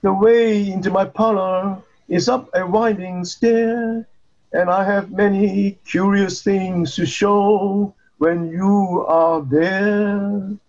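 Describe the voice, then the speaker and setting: A woman's voice: medium pitch, steady tone, slow pace, darkish tone. A woman voicing a sly predatory spider in a storybook poem, wheedling and falsely polite, on a dull recording. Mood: sly coaxing. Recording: dull lo-fi audio.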